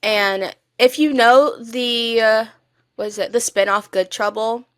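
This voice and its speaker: A woman's voice: fairly high pitch, steady tone, measured pace, fairly bright tone, clean tone, dry recording, clean studio sound. A young woman co-hosting a podcast in casual chat, talking in a gushing, emphatic fan style. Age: young adult. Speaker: podcast co-host.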